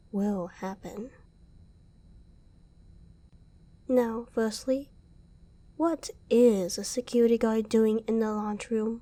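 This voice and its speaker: Female secret agent, acted by a woman: cool interrogator for ASMR audio drama, higher-pitched yet soft-toned, teasing menace. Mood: controlled menace. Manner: controlled, teasing menace with deliberate pauses.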